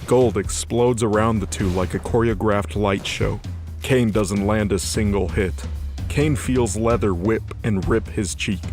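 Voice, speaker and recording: Casting: male narrator, low voice, scripted action storytelling over prominent music. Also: a little mellow.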